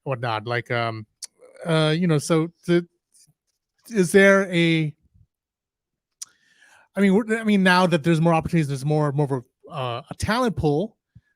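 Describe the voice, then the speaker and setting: A male panel moderator asks long questions that wander and restart, packed with fillers. His voice runs a bit high for a man. The sound is close and dry. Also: lively intonation, smooth, no room sound. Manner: rambling, halting questions full of fillers. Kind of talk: casual panel discussion.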